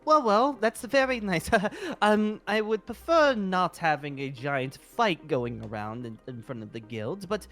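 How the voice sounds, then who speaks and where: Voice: mid-range voice, expressive, unhurried, hardly any pauses, fairly bright tone. A person playing a courteous, scheming fantasy guild leader in an improvised roleplay, speaking hesitantly and repeating praise. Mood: pleased, impressed. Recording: music underneath.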